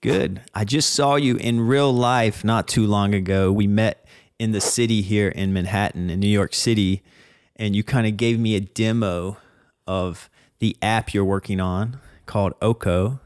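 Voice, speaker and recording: Podcast host, a man with a low voice, loose and chatty, dry close sound. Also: fairly even intonation, a little slow, darkish tone, clear, resonant tone, dry recording. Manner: friendly, loose, many fillers. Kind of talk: casual interview.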